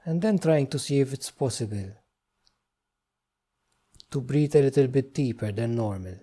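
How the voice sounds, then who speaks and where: A man guides a meditation in a dark, relaxed voice of medium pitch. He gives gentle instructions in short phrases and leaves unhurried pauses between them.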